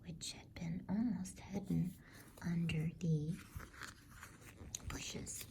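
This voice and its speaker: A woman reading a bedtime story in a hushed, breathy ASMR whisper. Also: on the low side, steady tone, slow pace. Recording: faint background noise.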